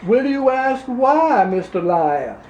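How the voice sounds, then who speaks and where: A man's voice: very high-pitched, very animated, slow pace, darkish tone, lo-fi sound, a little hiss or hum, heavily acted. A man playing a blustering, pompous male Louisiana politician with a Louisiana accent in an improvised comedy sketch. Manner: pompous, folksy, rambling. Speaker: comedy performer. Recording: roomy echo.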